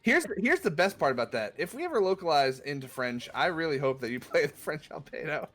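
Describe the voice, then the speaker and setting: A man's voice: fairly high pitch, sing-song, fast talker, a bit bright. A man hosting a casual chat, reading out viewer questions in a laid-back, slangy way.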